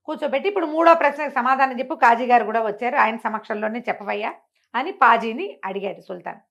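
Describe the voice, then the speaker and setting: Lady storyteller, highish voice, expressive, explains stuff with lots of rhetorical questions. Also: fast. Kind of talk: animated oral storytelling of a moral fable, acting out dialogue.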